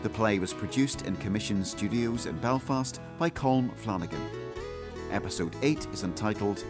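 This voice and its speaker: A man's voice: lowish voice, expressive, moderate pace. A man reading scripted closing credits as a radio drama announcer. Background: acoustic guitar music.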